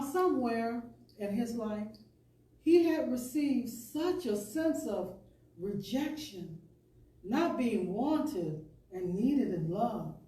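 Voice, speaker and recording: A woman giving a deliberate, expressive inspirational reading, pausing between phrases like a storyteller addressing listeners. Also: a little high. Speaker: storyteller reading a spiritual radio talk. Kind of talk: dramatic inspirational reading to an audience. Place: indoor spiritual center hall. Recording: roomy, distant mic with faint noise.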